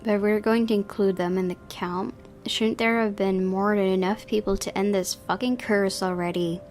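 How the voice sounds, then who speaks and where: A woman's voice: medium pitch, fairly even intonation, moderate pace, a little mellow. Woman narrating a game over music. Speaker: gaming video host. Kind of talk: reading visual novel narration aloud and commenting.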